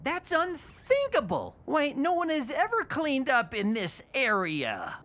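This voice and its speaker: High, wildly swooping little dragon. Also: female voice, wildly swooping pitch, measured pace, exaggerated voice. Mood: playful, reluctant. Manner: exuberant, sing-song, exclamatory. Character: small playful mischievous young boy dragon. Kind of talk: children's cartoon dubbing. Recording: loud music behind. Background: loud cartoon music.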